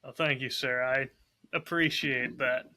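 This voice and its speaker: A man's voice: medium pitch, steady tone, measured pace, bright, forceful tone. A man chatting casually and informally as a podcast co-host. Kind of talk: casual chat.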